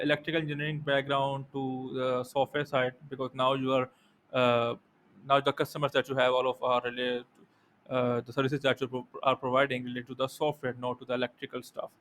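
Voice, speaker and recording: Man, non-native English, podcast interviewer, even intonation, halting, courteous, formal, slight background noise. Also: mid-range voice. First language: not English. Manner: halting, repeats words, courteous.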